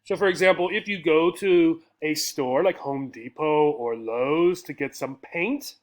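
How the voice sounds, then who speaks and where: An American man teaches English vocabulary in a fairly high-pitched voice. He explains in a didactic manner, says key words over again and often tags his points with a short check for understanding. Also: measured pace. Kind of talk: vocabulary lesson explained slowly to learners.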